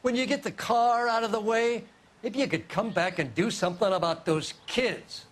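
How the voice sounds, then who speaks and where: A high-voiced, defensive American witness. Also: male voice, normal speed. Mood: grudging. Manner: colloquial, defensive. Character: defensive, folksy male witness, nosy neighbor. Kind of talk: video game cutscene acting.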